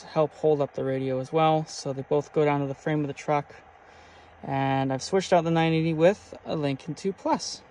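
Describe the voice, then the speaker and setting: A man's voice: on the high side, a little mellow. A man giving a casual, explanatory walkthrough as a vlogger showing off his gear. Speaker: vlogger showing his vehicle radio setup. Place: outdoors by a truck, then inside it. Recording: clear background noise, vehicle ambience.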